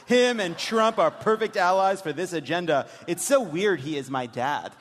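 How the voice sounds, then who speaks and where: A man reading the part of a politician's candid, jaded adult daughter in a dry, deliberate comic dramatic reading on stage. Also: high-pitched, lively intonation. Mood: scornful. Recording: reverberant theater, some noise.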